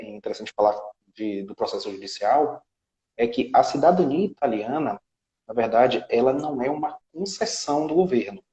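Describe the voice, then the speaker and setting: A man's voice: mid-range voice, mellow, reverberant, lo-fi sound. A man with a Brazilian Portuguese accent, a guest specialist explaining a legal process step by step and often checking in with tag questions. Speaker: citizenship law specialist, guest. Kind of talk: explanatory interview talk.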